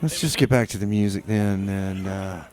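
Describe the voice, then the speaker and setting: A man hosting a community radio show, chatting loosely and haltingly between songs from a studio mic. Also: fairly low pitch, crowd noise. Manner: halting, full of uh and repeated starts. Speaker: radio DJ and host. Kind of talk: rambling casual radio chat.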